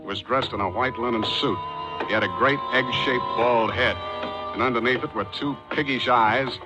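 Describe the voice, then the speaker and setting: An American actor in a 1940s radio drama playing a cool, wisecracking private detective, dry, clipped and sardonic. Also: male voice, mid-range voice, normal speed, over loud music, natural voice, rough recording. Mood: dry narrating. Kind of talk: 1940s radio acting with first-person narration. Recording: old 1940s radio recording.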